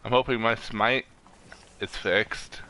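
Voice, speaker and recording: A man chatting casually while streaming an online game, excitable and given to swearing. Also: on the low side, slow, rough recording. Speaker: gaming streamer. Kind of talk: casual chat while playing a co-op online shooter.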